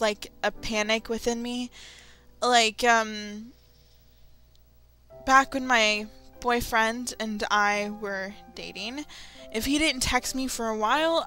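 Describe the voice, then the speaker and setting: A young adult woman giving a candid, confessional vlog talk, speaking haltingly and often repeating phrases. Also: on the high side, bright, forceful tone. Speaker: video creator. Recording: music behind the voice. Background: background music.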